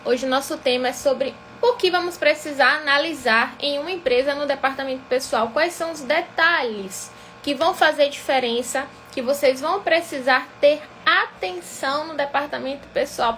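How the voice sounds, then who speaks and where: A woman's voice: high voice, a bit bright, slight background noise. A woman from Salvador with a Bahian accent, an accountant teaching a weekly live class in a didactic way, often checking in with her viewers. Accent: Bahian Brazilian Portuguese. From Salvador, Bahia, Brazil. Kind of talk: live-streamed lesson.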